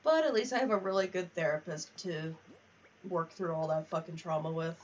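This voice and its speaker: A woman's voice: fairly low pitch, brisk, recorded from a distance, muffled recording, a little hiss or hum. A woman livestreaming a game with casual, candid chat, swearing freely in a wry way and reading bits of text aloud. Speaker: livestream host. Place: home office.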